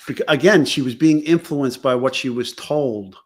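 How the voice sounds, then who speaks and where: A man co-hosting a livestream as a forensics expert, explaining points to the audience in a deliberate, conversational way. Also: mid-range voice. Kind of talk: casual explanatory chat.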